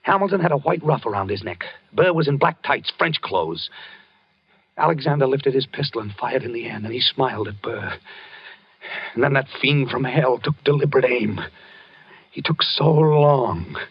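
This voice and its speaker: An actor playing a nervous, dream-obsessed husband in a 1940s radio drama, defensive and prone to frantic outbursts. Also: male voice, medium pitch, expressive, normal speed, husky, dry recording. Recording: dull lo-fi old radio broadcast.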